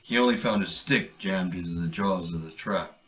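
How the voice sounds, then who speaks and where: A man reading aloud a short story he wrote, in a measured, deliberate way. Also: sits low, fairly bright tone, far from the mic. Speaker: author reading his own story.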